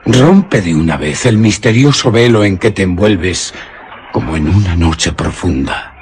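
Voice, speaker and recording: A man's voice: low-pitched, animated, measured pace, darkish tone, a little hiss or hum. An actor playing a lovestruck, dreamy young nobleman in a radio drama, in impassioned theatrical declamation. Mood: passionate pleading. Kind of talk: Spanish radio drama acting.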